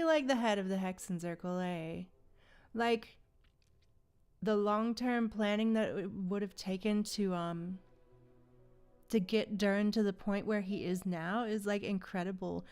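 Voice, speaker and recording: A woman livestreaming a game, chatting casually and reacting with exclamations and joking, slangy asides. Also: on the high side.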